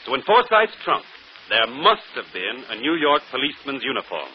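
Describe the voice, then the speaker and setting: A man's voice: medium pitch, sing-song, moderate pace, close to an everyday voice. A man playing a brilliant, self-assured detective in 1940s radio drama style, articulate and theatrical. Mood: confident, explaining. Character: brilliant, self-assured male detective explaining his solution. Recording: muffled, noisy old radio broadcast.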